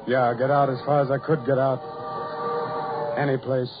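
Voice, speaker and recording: An actor playing a lonely, self-loathing ugly farm labourer in a 1940s radio horror drama, with halting, repetitive, brooding delivery. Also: male voice, mid-range voice, flat delivery, noisy background, natural voice. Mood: frantic, anguished. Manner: halting, repetitive, brooding, building to anguished outbursts. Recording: muffled, tinny 1940s radio recording.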